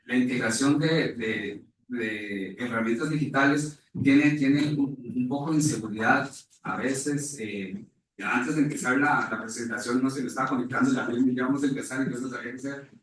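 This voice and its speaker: A man's voice: medium pitch, normal speed, fairly bright tone. A man explaining something spontaneously as a conference panelist, hesitant and thinking aloud. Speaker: conference panelist presenting on stage design. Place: reverberant indoor conference room. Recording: very echoey room, distant mic, faint noise.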